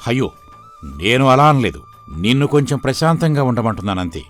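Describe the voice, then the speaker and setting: A man playing a long-suffering, gentle and weary husband in an animated folktale, pleading and mournful. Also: mid-range voice, a little fast, faint music behind, close to an everyday voice.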